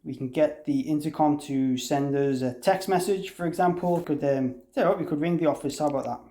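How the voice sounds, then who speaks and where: A man's voice: fairly high pitch, fairly quick, dark tone. A man giving an informal, unscripted product tutorial, explaining things conversationally and thinking aloud as he demonstrates. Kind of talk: informal software walkthrough, unscripted. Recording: roomy, echoey room sound.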